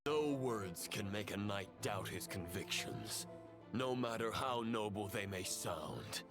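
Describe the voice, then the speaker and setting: An actor playing a grave, coldly convinced fallen knight phantom in a video game, speaking in measured, formal phrases. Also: male voice, lowish voice, lively intonation, over music. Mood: solemn, grave. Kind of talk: dramatic video game acting.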